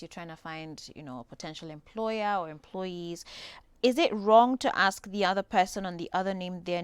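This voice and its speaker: Kenyan lady host, chatty, laughs easy, mid pitch, dry sound. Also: clean tone, no room sound. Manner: chatty, laughing, animated questions. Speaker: talk show host. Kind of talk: studio talk show discussion. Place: tv studio.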